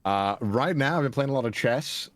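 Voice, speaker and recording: A man's voice: mid-range voice, expressive, moderate pace, no room sound. A young man from Wales with a Welsh accent, chatting as an interview guest in a self-deprecating way with frequent restarts. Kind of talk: casual interview chat.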